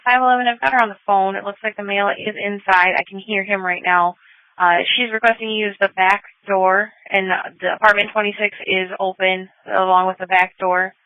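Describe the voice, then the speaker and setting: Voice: mid-range voice, level tone, fairly quick, smooth, no room sound. A police dispatcher relaying incident details over police radio in a procedural, matter-of-fact manner. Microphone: radio dispatch microphone. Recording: tinny narrow-band police scanner audio.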